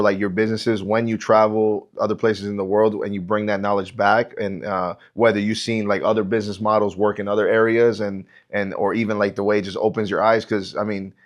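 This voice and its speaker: A man with an American accent hosting a podcast in an informal, agreeable, conversational way. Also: on the low side. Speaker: podcast host. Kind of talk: casual conversation.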